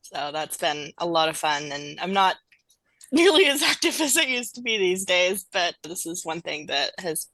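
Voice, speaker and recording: A woman's voice: fairly low pitch, very animated, normal speed, pushed and bright, no room sound, low-quality recording. A woman reminiscing casually as a voice actor in a group chat.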